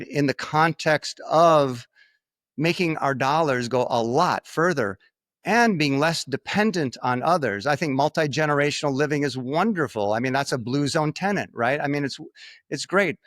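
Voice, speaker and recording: An American man talking as an interview guest, haltingly repeating words for emphasis and often checking with a tag question. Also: fairly high pitch, lively intonation, fairly bright tone, no room sound, clean studio sound. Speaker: podcast guest, finance expert. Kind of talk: conversational interview talk.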